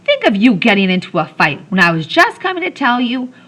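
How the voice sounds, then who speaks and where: A woman voicing a brisk nun teacher who scolds a group of children. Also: fairly high pitch, big pitch swings, a bit bright, pitched above the performer's own voice. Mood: scolding.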